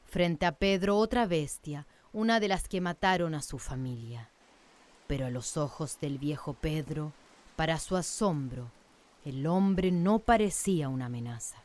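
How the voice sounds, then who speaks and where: A woman's voice: low-pitched, a little slow, darkish tone, a little hiss or hum. A woman narrating a scripted radio drama in a literary storytelling manner. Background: music.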